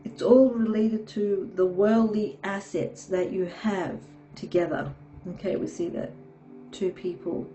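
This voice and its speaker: A woman giving a tarot reading, hoarse from a sore throat, talking haltingly with many fillers and frequent "okay"s. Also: medium pitch, fairly even intonation, warm tone, faint music behind, low-quality recording. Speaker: tarot reader.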